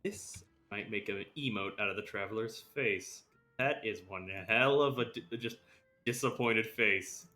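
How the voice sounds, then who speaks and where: A man's voice: lowish voice, unhurried, sharp tone, soft background music. A man giving casual live commentary as he streams a video game.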